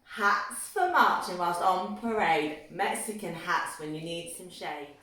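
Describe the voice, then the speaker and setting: A woman's voice: on the low side, expressive, unhurried, pushed and bright. Woman reader in a very echoey room. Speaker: storybook reader. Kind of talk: scripted children's rhyme read aloud. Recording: very echoey roomy sound.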